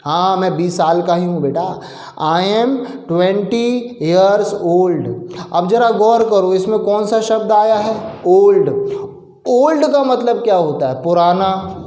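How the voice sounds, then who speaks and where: Male English teacher from India, unhurried lesson delivery, echoey room. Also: high-pitched, dark tone. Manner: explanatory, asks and answers his own questions; slow, drawn-out example sentences. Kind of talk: spoken English lesson explained in Hindi.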